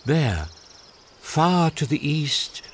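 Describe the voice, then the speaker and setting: An actor playing the calm storyteller of a fantasy radio drama, narrating over faint outdoor ambience. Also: male voice, fairly high pitch, extremely slow, a little hiss or hum, full character voice. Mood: measured.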